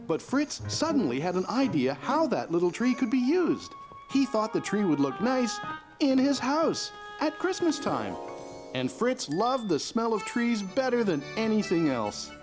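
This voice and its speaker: A man playing a kind, patient father in a children's cartoon, with a gentle storytelling manner. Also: sits high, huge pitch swings, moderate pace. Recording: music behind the voice.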